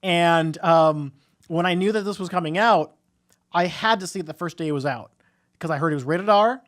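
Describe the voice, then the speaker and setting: High-pitched man chatting casually about movies. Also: normal speed, a bit bright. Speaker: co-host of a movie review podcast. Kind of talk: casual movie discussion chat.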